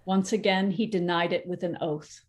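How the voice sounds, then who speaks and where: Woman narrator, lowish voice, expressive scripture storytelling. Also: normal speed. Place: church.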